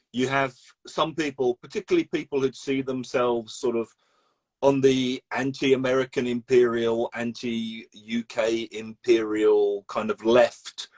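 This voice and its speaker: A man from London with a London English accent, hosting a casual interview in a chatty, discursive way, repeating words as he goes. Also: mid-range voice. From London, England. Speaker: interview host. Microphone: video call.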